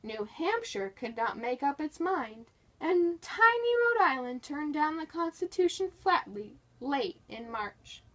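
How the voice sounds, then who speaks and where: A woman giving an expressive, emphatic read-aloud of a scripted history book, with deliberate phrasing. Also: sits high. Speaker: reader of a nonfiction history book.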